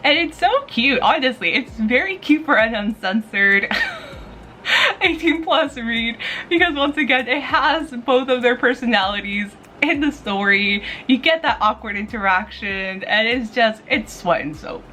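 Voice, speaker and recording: Female vlogger, fairly high voice, enthusiastic casual reviewer delivery, audible background noise. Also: animated, a little slow, bright, forceful tone. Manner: enthusiastic, informal, gushing. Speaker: manga reviewer and video host. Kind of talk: casual chatty recommendation vlog.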